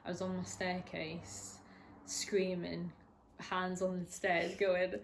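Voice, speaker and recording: A woman's voice: on the low side, completely flat delivery, takes it slowly, slight background noise. A woman in her twenties speaking candidly in an interview, hesitant, with many restarts and fillers. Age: 20s. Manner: hesitant, lots of restarts and ums. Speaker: interviewee sharing a personal story.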